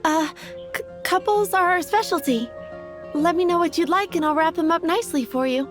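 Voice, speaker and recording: A young flower seller speaks in a very high, bright voice. She stammers nervously and keeps apologizing. Faint game music plays behind her. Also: normal speed. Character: flustered young woman flower shop seller. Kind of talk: video game voice acting.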